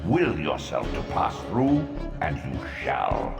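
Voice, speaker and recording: Deep, echoing ancient otherworldly elder. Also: male voice, huge pitch swings, takes it slowly, gravelly, exaggerated voice. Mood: portentous. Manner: portentous, stately, oracular. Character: ancient, commanding, all-knowing otherworldly male elder being. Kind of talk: dramatic video game voice acting. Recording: echoing, loud music behind.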